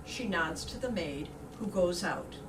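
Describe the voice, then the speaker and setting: A woman's voice: lowish voice, lively intonation, a little slow. A woman narrator reading scripted stage directions for a staged play reading. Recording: distant roomy recording with background noise.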